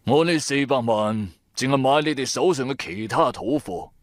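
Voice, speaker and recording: An actor with a Cantonese accent playing a shrewd, self-assured old antiques dealer in a radio drama, speaking in a measured, grandiose way. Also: male voice, medium pitch, dry recording, clean studio sound, natural voice. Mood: smooth, bargaining.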